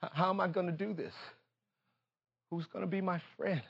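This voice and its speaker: An American man preaching a fervent sermon in an emphatic, repetitive call-and-response manner, moving between confiding talk and impassioned shouting. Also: high voice, moderate pace, creaky, slightly rough, dull audio.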